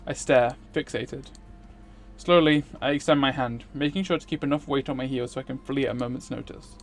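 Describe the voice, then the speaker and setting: Male streamer, medium pitch, dramatic read-aloud narration of a visual novel. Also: steady tone, fairly quick, soft background music.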